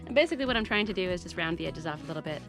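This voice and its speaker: A woman's voice: fairly low pitch, racing, music in the background. Chatty woman with a stuffy nose. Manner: relaxed, chatty, self-deprecating. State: stuffy nose from a head cold. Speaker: DIY vlogger. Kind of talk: casual vlog narration while working. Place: off-grid tiny house workshop.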